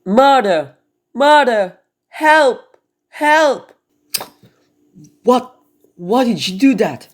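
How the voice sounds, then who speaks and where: A woman playing a cunning, murderous noblewoman who feigns grief and mercy, theatrical and deliberate, in an amateur radio drama. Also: a little high, animated. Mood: feigned horror.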